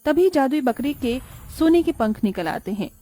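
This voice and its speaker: A woman narrating a scripted cartoon story in a calm storytelling manner. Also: sits high, normal speed, soft background music.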